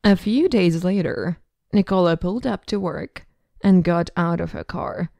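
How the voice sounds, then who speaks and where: A woman's voice: on the low side, a little slow, gravelly, dark tone, no room sound. A woman reading scripted narration for an audio drama.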